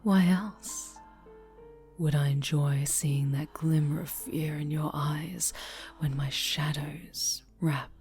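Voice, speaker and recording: A sinister, obsessive dark angel played by a woman speaks in a low, unhurried voice. She slips in and out of breathy whispers, tender and menacing at once, in an intimate ASMR drama with clear music underneath. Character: possessive, obsessive dark-angel superheroine turned sinister villain.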